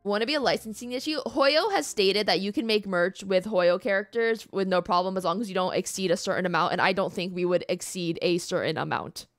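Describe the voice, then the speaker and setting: A woman's voice: medium pitch, brisk, hardly any pauses, no echo, studio quality. Chatty woman livestreamer over background music. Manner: informal, playful, mildly profane. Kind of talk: casual chat with live audience.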